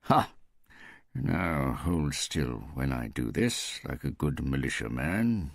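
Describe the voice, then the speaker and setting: A man plays a wry, mischievous woman pathologist in a crime audiobook. The voice sits low, and her teasing, sardonic lines are recorded dry and close. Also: expressive, slow, gravelly, dry recording, exaggerated voice.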